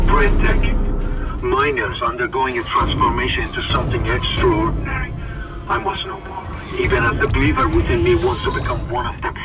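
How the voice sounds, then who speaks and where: An actor playing an obsessed scientist in a fervent, theatrical monologue. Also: male voice, sits high. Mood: rapturous. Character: obsessed mad scientist, rapturous and coldly curious. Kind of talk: dramatic recorded monologue.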